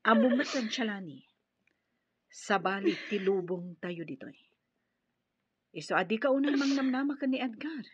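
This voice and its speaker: A woman playing a caring, steady older-sister figure who consoles frankly in an Ilocano radio drama. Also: on the high side, moderate pace, long pauses, husky, no room sound, dull audio. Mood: consoling.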